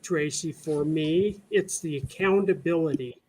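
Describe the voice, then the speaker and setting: A man's voice: a little high, unhurried, darkish tone, slight background noise, poor audio. A man giving earnest, blunt political commentary off the cuff as a panelist.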